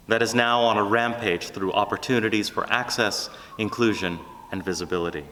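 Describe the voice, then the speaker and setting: A man preaching a scripted sermon in an earnest, deliberate manner. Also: fairly low pitch, pushed and bright. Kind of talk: sermon, measured scripted delivery. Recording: long hall reverb.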